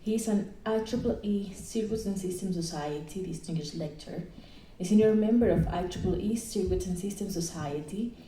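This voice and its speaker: A woman hosting a session reads out a speaker's introduction in a measured, formal way. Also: medium pitch, dark tone, slight background noise. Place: conference hall. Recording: echoey hall, heard from a distance.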